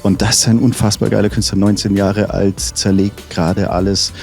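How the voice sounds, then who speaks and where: A man's voice: on the low side, level tone, normal speed, vocal fry, darkish tone, faint music behind. A man as a rapper interview guest, chatting casually and enthusiastically with slang and frequent restarts. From Munich.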